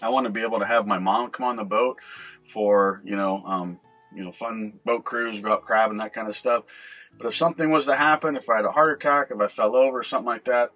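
A man's voice: on the low side, fairly bright tone, poor audio. A middle-aged man with a North American accent, giving an informal, conversational how-to explanation as a video host. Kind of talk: informal instructional vlog. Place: inside a small boat cabin at the helm.

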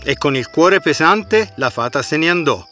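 A man with a fairly high, animated voice narrates a fairy tale. His delivery is expressive and scripted, with clear music playing behind him. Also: moderate pace. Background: background music.

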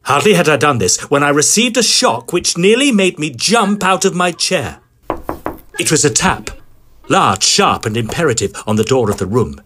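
Animated, high-voiced Edwardian gentleman ghost hunter. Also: moderate pace, slight background noise. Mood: suspenseful narration. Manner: formal, old-fashioned, dramatic phrasing. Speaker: narrator and lead character. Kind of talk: theatrical first-person audio drama storytelling.

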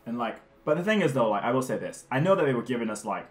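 A man's voice: mid-range voice, quick pace. A young man chatting casually in a living room, telling stories animatedly with lots of fillers and acted-out quotes. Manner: animated storyteller, acts out quotes, many fillers. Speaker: host, former reality show contestant. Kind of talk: casual chat. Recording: roomy living room with faint music.

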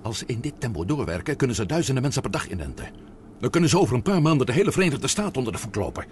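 A man plays an uneasy submarine companion in an old radio serial, wondering and questioning. His pitch moves in wide, lively swings, and the recording is clearly noisy with a rumble behind him. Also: rapid-fire, natural voice. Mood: awed, hushed. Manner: anxious, wondering. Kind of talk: Dutch radio drama acting.

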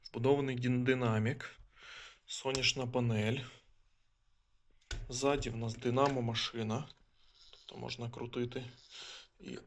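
A man's voice: mid-range voice, level tone, a little slow, pauses often, slight background noise. A man giving a casual, unscripted product demonstration, explaining and thinking aloud as he goes. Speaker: gadget reviewer. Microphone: phone filming the demonstration.

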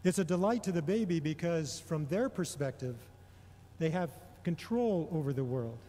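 Middle aged guy doing a church homily, slightly high mellow voice, careful pauses, big echoing hall. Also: lively intonation, a little hiss or hum. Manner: measured, deliberate, with frequent pauses between phrases. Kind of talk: sermon, prepared homily. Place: large indoor church or hall. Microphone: gooseneck microphone on a lectern. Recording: long reverberation of a large hall.

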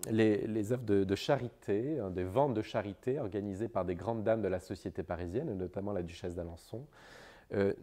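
A young man presenting history to camera as a guide, explaining in a halting way with frequent hesitations. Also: on the low side, dark tone. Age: young adult. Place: large indoor historic library.

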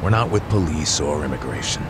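An actor playing a gruff, wry older ex-detective in a video game. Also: male voice, a bass voice, lively intonation, fairly quick, noisy background.

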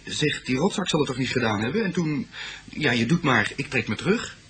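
A man's voice: mid-range voice, animated, fairly quick, a bit bright, lightly acted. An actor playing a young male student in a Dutch radio police drama, explaining things in a hesitant, informal, faltering way. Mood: uneasy, explaining.

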